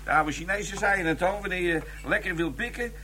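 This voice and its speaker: High-voiced, cheeky bantering policeman. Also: a little fast, a little hiss or hum. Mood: teasing, jovial. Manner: jokey, teasing. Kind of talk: 1990s Dutch radio drama acting. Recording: loud crowd of voices behind.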